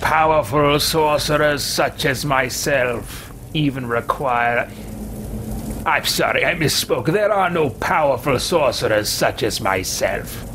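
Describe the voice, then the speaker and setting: A man's voice: mid-range voice, noisy background, lightly acted. A man roleplaying a haughty, megalomaniac evil sorcerer for a video game, speaking in a pompous, theatrical and contemptuous way. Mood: boastful. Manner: pompous, theatrical, slow deliberate phrasing. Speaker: streamer. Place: small home room with shelves, indoors. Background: video game ambience.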